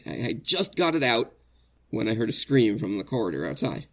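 An actor playing an anguished innocent man facing execution in a 1940s-style radio drama, earnest and halting, stammering with emotion. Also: fairly low pitch, very animated, a bit bright, full character voice. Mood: shaken, halting.

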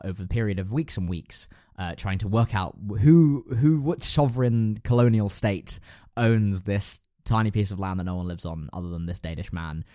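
A man's voice: lowish voice, a little mellow, no echo, studio quality. A man hosting a podcast, chatting casually and explaining things in a rambling, enthusiastic way. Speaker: podcast host. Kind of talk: casual podcast chat about plays.

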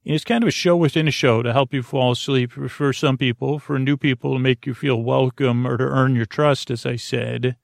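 Creaky-voiced man, hesitant and meandering. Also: medium pitch, steady tone, a little fast, hardly any pauses, no room sound, clean studio sound. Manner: meandering, hesitant, stumbling over words. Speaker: podcast host. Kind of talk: rambling sleep-podcast monologue.

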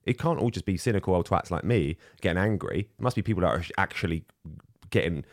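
A man's voice: deep voice, animated, quick pace, dry recording, clean studio sound. A man in casual chat as a co-host, ranting and self-mocking. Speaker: podcast co-host.

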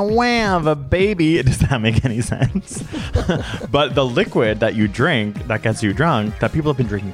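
A man co-hosting a podcast in an easygoing, joking way, breaking into laughter between thoughts. His voice sits a little low and stays relaxed. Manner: playful, laughs often, jokey asides. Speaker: podcast co-host. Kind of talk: casual chat.

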